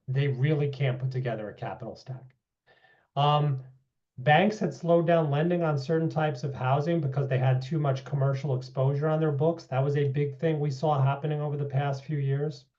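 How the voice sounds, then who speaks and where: Slightly hoarse man presenting housing data. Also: a little high, mellow. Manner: briefing with data, asides of okay and you know. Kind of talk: public meeting presentation. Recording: dull lo-fi meeting audio.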